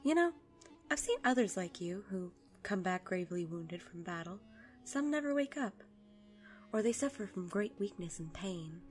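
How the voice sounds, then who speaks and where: An actress playing a gentle mother tending the wounded in an audio drama, soothing in manner. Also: mid-range voice, expressive, normal speed, faint music behind, natural voice. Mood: concerned.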